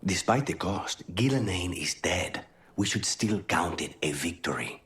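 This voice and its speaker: An actor playing a brooding, devoted assassin in a video game, weary and intimate, often speaking close to a whisper. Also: male voice, low voice, expressive, measured pace. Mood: grim, resolute. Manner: hushed, breathy, often near whispering. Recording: faint music underneath.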